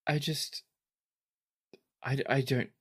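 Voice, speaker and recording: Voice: mid-range voice, level tone, slow, breathy edge. A tabletop player voicing a reserved, hesitant young princess who speaks with slight formality. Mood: uneasy, reluctant. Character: reserved young princess, reluctant, formal, matter-of-fact about war.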